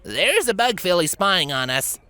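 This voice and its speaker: A woman's voice: lowish voice, very animated, measured pace, heavy background noise, voice pushed up. A woman voicing a brash, teasing, tomboyish young pegasus filly with a blunt, dismissive manner for a story. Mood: suspicious.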